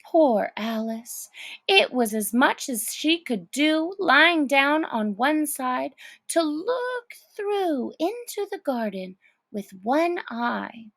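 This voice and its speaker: A woman narrates a children's story with dramatic care. Her voice is high with some creak, and she takes her time. The recording is dry and close. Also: very animated, takes it slowly, fairly bright tone, no echo. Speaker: storybook reader.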